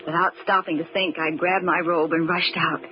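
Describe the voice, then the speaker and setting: An actress playing an anxious, protective young stepsister in an old-time radio mystery, earnest and a little bossy, hesitating when worried. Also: female voice, on the low side, little pitch movement, moderate pace, natural voice. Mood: tense narration. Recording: muffled, noisy vintage radio recording.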